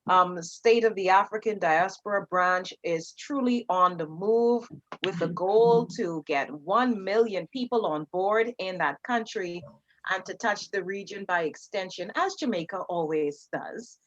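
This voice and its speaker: An upbeat woman moderates an online summit and introduces each speaker warmly. Her mid-pitched voice comes through dull and lo-fi over a video call. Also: unhurried. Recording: dull lo-fi audio.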